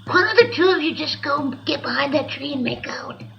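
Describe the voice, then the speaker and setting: A woman's voice: sits high, expressive, fairly quick, creaky, lo-fi sound, a little hiss or hum. A woman voicing a small, wiry talking monkey who is cheeky, sarcastic and whiny, in an old-time radio comedy drama. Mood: whiny sarcasm.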